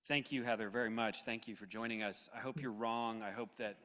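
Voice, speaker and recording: A man's voice: on the low side, steady tone, fairly quick, fairly bright tone. A man hosting and moderating a live audience discussion, recorded in a large hall. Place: large hall, live event with video call. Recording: echoey hall, long reverb.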